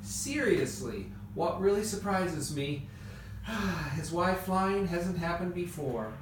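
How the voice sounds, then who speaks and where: A man's voice: high-pitched, animated, slow. A man playing a confident, wry inventor in an amateur radio play. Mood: teasing. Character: Wilbur Wright, confident, wry adult male inventor. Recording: echoey room, distant mic, noisy background.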